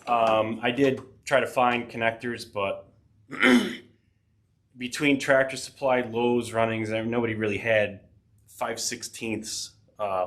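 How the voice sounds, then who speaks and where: A man's voice: lowish voice, a bit bright. A man hosting a DIY tech channel, talking casually through a repair with a head cold, rambling with fillers and dry asides. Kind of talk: casual DIY workshop narration. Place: home workshop.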